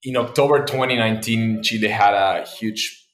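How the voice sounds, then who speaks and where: A man's voice: fairly low pitch, steady tone, moderate pace, fairly bright tone. This is a man, a Spanish speaker. He talks casually, like a guest telling a story in an interview. The sound is noticeably echoey, as if recorded in a bare room. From Argentina. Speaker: podcast guest, tech entrepreneur.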